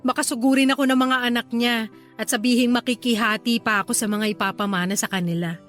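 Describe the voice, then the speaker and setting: A woman's voice: on the high side, fairly even intonation, fast talker, a bit bright, soft background music, close to an everyday voice. An actress playing a young woman who is a coy, restless secret lover in a Tagalog radio drama. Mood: worried, hesitant.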